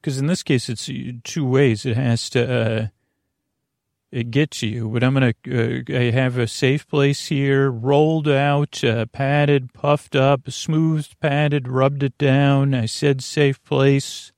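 A man with a creaky voice hosting a bedtime sleep podcast, meandering and halting in a soothing, lulling monologue that trails off into tangents. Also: mid-range voice, fairly even intonation, a little mellow, dry recording, clean studio sound. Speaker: podcast host.

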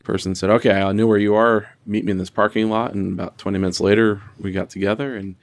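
Gravelly, lower-voiced man. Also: fairly even intonation, fast talker, no echo. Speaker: physicist, interviewee. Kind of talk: recounting an anecdote in an interview.

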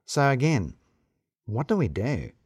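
A man's voice: mid-range voice, sing-song, measured pace, a little mellow, husky, dry recording, clean studio sound, heavily acted. A man voicing a practical, questioning office colleague who speaks in short, pointed phrases in an audio story.

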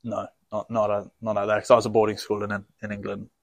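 Easygoing young man, low dry voice. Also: monotone, fast, creaky, no echo. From grew up in many countries. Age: young adult. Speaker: rugby player guest. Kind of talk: casual interview answers.

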